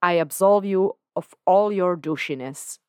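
A woman voicing a suave male devil who has become God, speaking in a dry, unhurried, formal way. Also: on the low side, clean tone, no room sound, pitched above the performer's own voice, close to an everyday voice.

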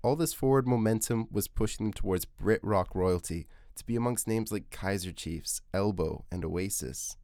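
A man hosting a podcast, reading scripted music-documentary narration in a measured, essay-like way. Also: fairly low pitch, dark tone, dry recording, clean studio sound. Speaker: podcast host. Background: music under the voice.

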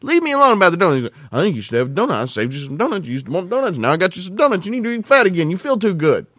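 A man in casual podcast banter, telling stories in an animated, ranting way and acting out quoted exchanges. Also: high voice, very fast, no echo, clean studio sound.

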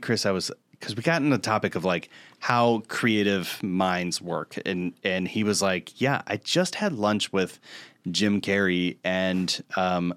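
A man co-hosting a podcast, chatting casually and telling anecdotes in an informal, halting way with repeated words. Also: deep voice, no room sound. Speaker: podcast co-host.

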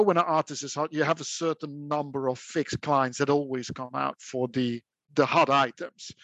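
A man with a Dutch accent weighs his words in a relaxed interview, thoughtful and even-handed, breaking into a quick laugh now and then. His voice sits a little high for a man. The sound is close and dry, with no room around it. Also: no echo. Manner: measured and reflective, with occasional laughs. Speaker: guest, auction house specialist. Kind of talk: conversational interview.

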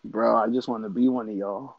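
A man's voice: medium pitch, fairly even intonation, fairly quick, vocal fry, highs cut off, crowd noise. A man co-hosting a podcast in casual, profane banter, using a lot of bro-style slang. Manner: slangy, says "bro" often. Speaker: podcast co-host.

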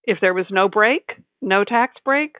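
A woman giving explanatory answers as an interview guest, articulate and measured, speaking in full, well-formed sentences. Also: medium pitch, no echo. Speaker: tax advisor, interview guest. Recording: tinny phone-like line, dry.

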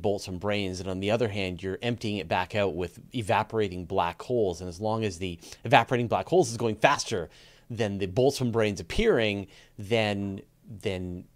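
A man hosting a conversational science interview, thinking aloud in a halting way as he frames his questions. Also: fairly low pitch. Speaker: podcast host, interviewer.

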